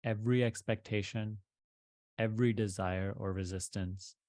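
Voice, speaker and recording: A man teaching meditation, leading a guided practice in deliberate short phrases with pauses between them. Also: lowish voice, steady tone, darkish tone, no echo, studio quality.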